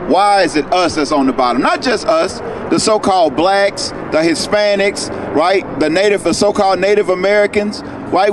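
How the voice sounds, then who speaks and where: A man teaching people on a city street, questioning them and tagging his points with "right?". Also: high-pitched. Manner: questioning, tags lines with "right?". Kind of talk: outdoor street preaching. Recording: noisy street with traffic.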